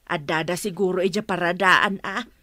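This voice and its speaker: A nosy, insistent middle-aged mother with an Ilocano accent. Her voice is bright and forceful, medium in pitch and recorded dry, in radio drama style. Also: fairly even intonation, normal speed, no echo. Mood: motherly.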